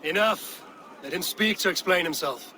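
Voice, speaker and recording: An actor playing a steady, commanding Viking warrior leader in a game cutscene. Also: male voice, high-pitched, normal speed, a little hiss or hum. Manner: calm, commanding. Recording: game audio with ambient background.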